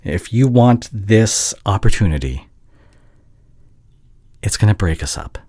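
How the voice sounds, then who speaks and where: A man acting a conflicted doctor and caring ex-boyfriend in an intimate roleplay drama, halting and earnest, with a husky, breathy voice. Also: fairly low pitch, expressive, dark tone, dry recording. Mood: pained, pleading. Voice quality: husky, breathy, often dropping to a whisper.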